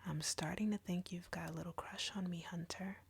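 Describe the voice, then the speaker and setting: Woman acting a whispering, husky, taunting vampire seductress. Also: on the low side, fairly even intonation, a little fast, heavily acted. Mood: smug, accusing. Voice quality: breathy, husky. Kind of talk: intimate scripted audio roleplay addressed to the listener.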